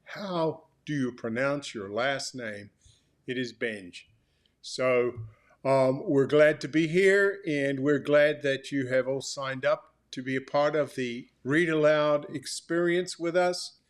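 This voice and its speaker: Male author-host, medium pitch, informal explanatory delivery, measured with hesitant phrasing.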